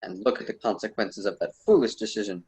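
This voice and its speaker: Husky guy doing a wise old-world poet mentor, formal and lecturey, radio play. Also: fairly low pitch, a little mellow. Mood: patient lecturing. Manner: formal, measured, didactic. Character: wise, wordy ancient poet mentoring a student. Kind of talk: amateur student radio drama acting.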